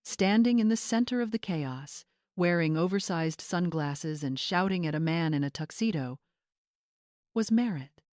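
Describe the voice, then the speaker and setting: Female story narrator: lowish pitch, scripted drama delivery, dry close sound. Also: darkish tone, dry recording.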